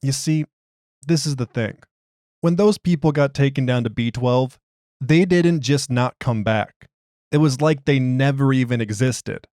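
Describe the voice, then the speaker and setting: A man's voice: mid-range voice, unhurried, a little mellow, no echo, studio quality, lightly acted. A man voicing an agitated, streetwise prisoner who argues his case in slangy, ungrammatical, exasperated speech. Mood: agitated, insistent. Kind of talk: dramatized interview log.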